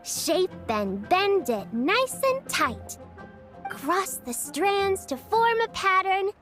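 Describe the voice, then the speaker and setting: Cheerful, very high-pitched little girl. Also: sing-song, slow pace, a bit bright, exaggerated voice. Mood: sing-song, instructive. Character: cheerful, helpful young girl teaching lantern-making. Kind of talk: English video game voice acting. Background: game music.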